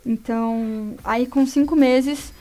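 A woman's voice: fairly high pitch, fairly even intonation, slow, dark tone. A woman from São Paulo with a Brazilian Portuguese accent, a guest telling her own story in a casual, conversational way.

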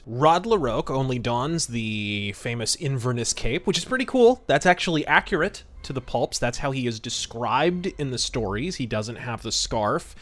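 A man's voice: mid-range voice, lively intonation, moderate pace, hardly any pauses, a bit bright. A man hosting a podcast and giving conversational, detailed film commentary with a wry manner. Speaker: podcast host.

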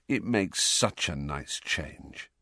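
A man's voice: extremely low pitch, animated, takes it slowly, sharp tone, no room sound, studio quality, pitched below the performer's own voice, full character voice. Man playing a cheeky, brash teenage boy. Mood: amused.

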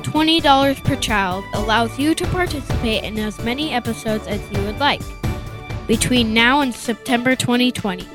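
A woman's voice: on the high side, moderate pace. A female announcer giving details in a scripted radio promo. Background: music.

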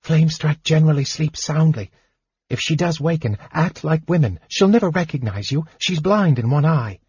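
A man voicing Marita, a sharp-tongued woman who looks after children, speaking briskly and then in a hush. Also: deep voice, animated, breathy edge, no echo, dull audio, voice pushed up, heavily acted.

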